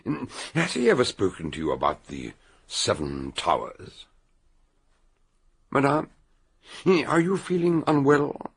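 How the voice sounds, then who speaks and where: A gravelly amateur detective of great courtesy and curiosity in a radio mystery. His delivery swoops widely up and down, stammering and doubling back in a bumbling, scholarly way. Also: mid-range voice, wildly swooping pitch, unhurried, long pauses, heavily acted. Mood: musing, probing. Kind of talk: radio detective drama acting.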